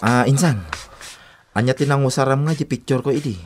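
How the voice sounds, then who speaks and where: A man with an Ilocano accent acting a brash, smooth-talking young cousin and suitor in a radio drama. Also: mid-range voice, a little fast, a little hiss or hum, lightly acted. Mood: teasing, probing.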